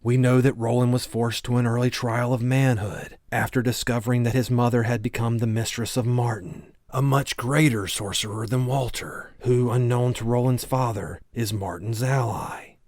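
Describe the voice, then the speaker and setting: A man reading scripted audiobook narration in a measured, solemn storytelling manner. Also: mid-range voice, steady tone, a little mellow, no echo, studio quality. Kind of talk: scripted audiobook narration of a fantasy novel recap.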